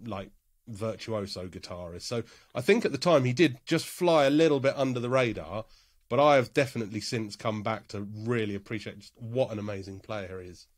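A man's voice: fairly low pitch, brisk, no echo, clean studio sound. A man co-hosting a casual podcast, his voice congested from a cold, chatty and joking with frequent fillers. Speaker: podcast co-host. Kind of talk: casual chat.

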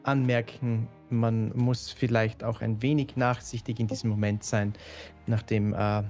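A man's voice: on the low side, little pitch movement, measured pace, a little mellow, faint music behind. A man playing a diplomatic lord and master of law in a fantasy role-play, formal and hesitant. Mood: conciliatory.

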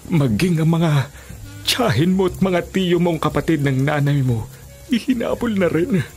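An earnest old villager in a radio drama. His delivery is hesitant yet emphatic, with a very animated pitch that rises and falls as he insists on his tales. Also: measured pace, slightly rough, soft background music, exaggerated voice. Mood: earnest, insistent. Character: older village man, earnest believer in aswang tales. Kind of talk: Filipino radio drama acting. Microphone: studio condenser mic with pop filter.

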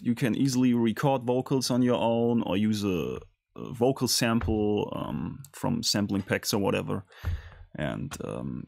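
A man hosting a casual music production tutorial in a studio, talking in a hesitant, halting way. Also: mid-range voice, creaky, darkish tone. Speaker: tutorial host.

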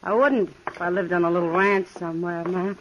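A woman's voice: fairly low pitch, steady tone, brisk, dull audio, close to an everyday voice. A woman playing a worldly saloon hostess in a 1950s radio drama, dry and teasing. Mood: wry. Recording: noisy old radio recording.

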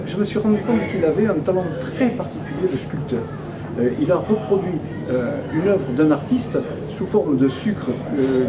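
A man in his fifties explaining in an interview, measured and hesitant, often pausing mid-phrase. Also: a little high. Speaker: restaurant owner interviewee. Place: indoor restaurant room. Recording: loud ambient noise behind.

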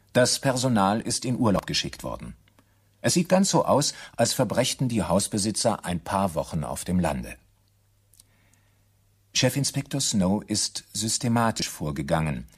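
An actor as the narrator of a German radio crime drama, reading scripted narration with dry, understated irony. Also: male voice, sits low, breathy edge, exaggerated voice. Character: dry, measured male crime-story narrator.

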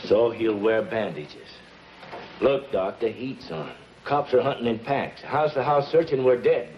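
A man's voice: medium pitch, unhurried, natural voice. An actor playing a tough, practical henchman with clipped, hard-boiled talk in a 1950s television crime drama. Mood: pressing, threatening. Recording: muffled, hissy 1950s TV soundtrack.

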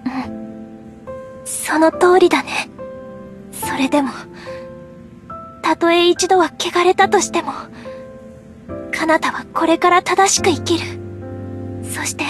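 A young woman playing an anguished, devoted, guilt-ridden girl in a Japanese anime game, speaking earnestly and haltingly. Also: very high-pitched. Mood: solemn, resolved. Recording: game music behind the voice. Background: loud game music.

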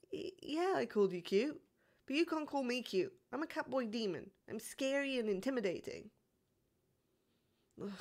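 A man's voice: extremely high pitch, gravelly, heavily acted. A man playing a bashful young catboy demon who feigns toughness, stammering and pouting in an intimate roleplay audio. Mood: bashful, flustered. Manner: hesitant, stammering, pouty, softly sighing.

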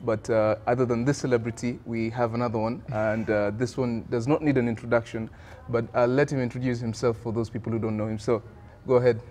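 A young man hosting an outdoor interview, friendly and halting, with lots of fillers. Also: mid-range voice, little pitch movement, a little mellow. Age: young adult. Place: outdoor courtyard garden.

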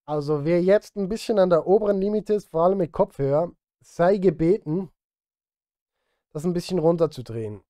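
A man's voice: high-pitched, moderate pace, warm tone, no echo, clean studio sound. A man in his thirties chatting casually to livestream viewers while he works, self-deprecating and direct. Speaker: music streamer and composer. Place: indoor home studio.

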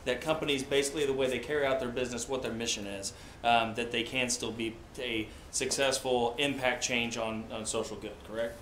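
Brief: middle-aged male moderator, mid pitch, roomy hall sound, faint ambience. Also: fairly even intonation, a little fast, few pauses, fairly bright tone, slight background noise. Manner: standing, walking among tables, summarizing answers. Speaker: panel moderator. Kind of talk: moderating a group discussion. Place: large meeting room with round tables. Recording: echoey room.

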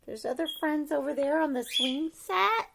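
A middle-aged woman reads a rhyming picture book aloud to young children in an expressive sing-song way, pausing to ask them questions. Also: high-pitched, vocal fry, background noise. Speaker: preschool story-time teacher reading aloud. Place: living room at home, indoors.

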